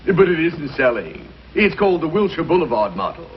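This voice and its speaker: A man's voice: a little high, very animated. An actor playing a fussy, sarcastic department-store clerk in a radio comedy, gushing and drawing out his exclamations. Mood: smug, snide. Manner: gushing, drawn-out exclamations, snide. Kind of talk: 1950s radio comedy acting. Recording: dull, noisy 1950s radio recording.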